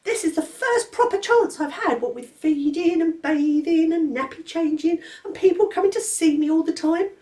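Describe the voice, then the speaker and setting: A woman's voice: very high voice, moderate pace, few pauses, warm tone, far from the mic, pitched above the performer's own voice. A woman voicing a stern, precocious talking baby boy who lectures his sister. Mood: bossy. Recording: roomy echo.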